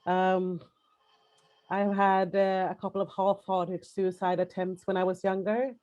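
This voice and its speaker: A woman with a Swedish accent, a native Swedish speaker giving personal testimony as a webinar panelist over a video call, halting and hesitant, with many restarts. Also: mid-range voice, level tone, darkish tone, dull audio.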